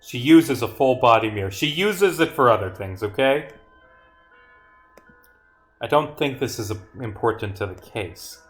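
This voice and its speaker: A man live-streaming his own game, reading dialogue aloud and rambling as he thinks out loud, with some swearing. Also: medium pitch, animated, measured pace, pauses often, soft background music.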